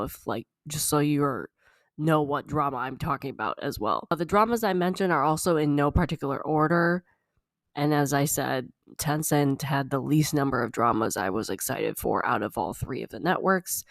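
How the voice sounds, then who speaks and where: A casual podcast host rambles through notes on upcoming shows, sounding slightly congested. The voice sits in the middle of the range with a gravelly, fry-heavy edge. It is recorded close to the mic in a dry, deadened space. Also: medium pitch, no room sound. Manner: chatty, rambling, frequent "like" and "uh". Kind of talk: casual solo podcast chat.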